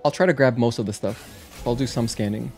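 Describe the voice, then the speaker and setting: A man's voice: mid-range voice, a little fast, darkish tone. Casual man livestreaming, music behind him. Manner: chatty, thinking aloud. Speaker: video game livestreamer. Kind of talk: casual gameplay commentary. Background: game music.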